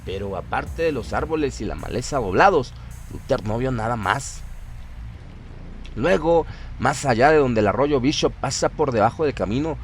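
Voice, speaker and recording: A man's voice: medium pitch, lively intonation, normal speed, slight background noise. A man narrating a scripted horror radio drama in a theatrical, literary storytelling manner.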